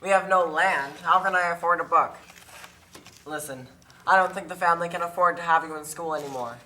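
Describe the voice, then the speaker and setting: A man's voice: on the high side, fairly quick, sharp tone, slight background noise, low-quality recording. A man playing a weary, anxious small farmer and father in an amateur puppet show, speaking earnestly and plaintively. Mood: resigned.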